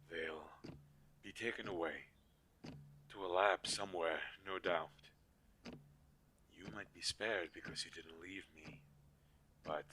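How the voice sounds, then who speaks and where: A man playing a weary, protective magical inventor in an audio drama, speaking earnestly with halting pauses. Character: weary, protective male inventor-mage, creator of living dolls. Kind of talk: scripted audio roleplay drama. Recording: low quality, audible background hiss.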